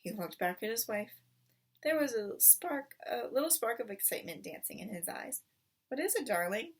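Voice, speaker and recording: A woman's voice: a little high, expressive, normal speed, vocal fry, breathy edge, far from the mic. A woman reading a children's book aloud as a storyteller. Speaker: storybook reader.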